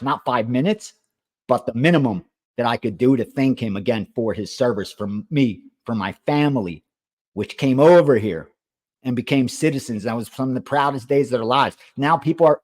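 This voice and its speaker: A man's voice: medium pitch, moderate pace. A middle-aged man whose first language was Greek, hosting a sports betting show and giving a heated, emphatic monologue with swearing and repeated phrases. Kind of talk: impassioned monologue.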